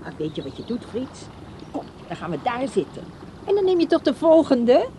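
A woman's voice: on the high side, sing-song, normal speed, background noise. An actress playing a lonely, pious older woman in a radio play, pleading and insistent. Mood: coaxing.

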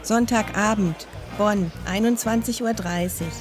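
A woman narrator reads short, log-like entries from a script. Her pitch is average, and music is clearly heard behind her. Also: unhurried.

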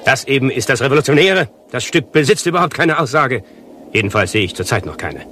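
An actor playing a pompous, self-important avant-garde playwright in a satirical radio play, speaking in long-winded, declamatory sentences with precise diction. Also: male voice, medium pitch, very animated, brisk, bright, forceful tone. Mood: pompous, lecturing.

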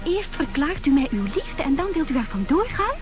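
High-voiced headstrong young heiress. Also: female voice, very animated, brisk. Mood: insistent, indignant. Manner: insistent, theatrical, imperious. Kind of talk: old Dutch radio comedy acting. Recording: old radio play, music behind.

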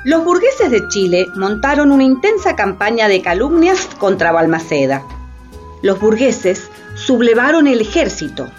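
A woman giving scripted, dramatic narration for a radio play. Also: fairly high pitch. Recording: dull sound, faint music behind.

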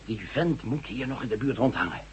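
Male actor for radio-drama lawman: blunt, quick-to-act sheriff, lively intonation, slightly noisy recording. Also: mid-range voice, lightly acted. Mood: businesslike. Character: gruff, impatient small-town sheriff, quick to act. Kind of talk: Dutch radio drama acting.

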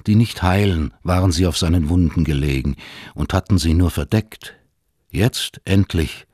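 Male literary narrator for radio drama: low, husky voice, very dry sound. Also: a little mellow, no room sound.

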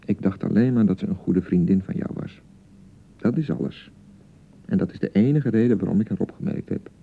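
A man acting an excitable young newlywed husband in a radio drama, by turns blustering and awkwardly pleading. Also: on the low side, a little fast, creaky, husky, narrow, tinny audio. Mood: defensive, placating. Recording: thin, band-limited 1990 radio broadcast.

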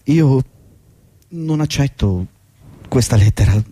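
A man's voice: mid-range voice, expressive, takes it slowly, dark tone, breathy edge, no echo. A man playing an aggrieved, volatile employee of nearly fifty in a theatrical radio drama, agitated and swinging between deference and outburst. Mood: defiant, agitated.

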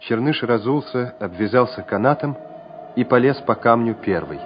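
A man narrating a wartime radio drama in a measured, solemn, scripted way. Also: mid-range voice, lively intonation, natural voice. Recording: dull old radio recording with music.

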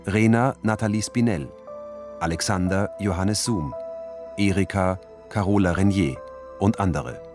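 A man announcing the title and credits of a radio play in a formal scripted style. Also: lowish voice. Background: music.

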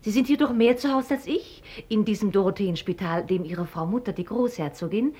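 A woman acting a cool, witty, self-assured young heiress in a German radio play, composed and formally ironic, with a husky voice. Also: medium pitch, fairly quick, mellow, natural voice. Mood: composed, curious. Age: young adult.

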